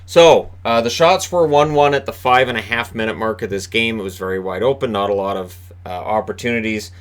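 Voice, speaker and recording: Man, booming voice, lowish pitch, rambling chatty hockey recap, fillers and restarts, home room. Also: a little fast, clear, resonant tone. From Canada. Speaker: hockey commentator and video host. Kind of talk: casual solo game recap.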